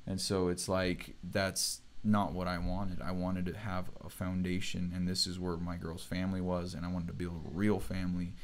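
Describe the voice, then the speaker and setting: Casting: young male host, very low voice, loose rambling chat with frequent laughs. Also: completely flat delivery, normal speed, few pauses. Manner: laid-back, rambling, laughs often. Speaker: show host. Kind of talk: casual livestream chat.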